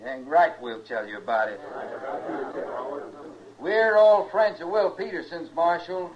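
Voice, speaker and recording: A man's voice: on the high side, expressive, measured pace, a crowd in the background. A man with an American accent playing a defiant, taunting mob leader with folksy speech in an old-time radio western. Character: belligerent rabble-rousing western mob leader, a male drifter. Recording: muffled old radio recording.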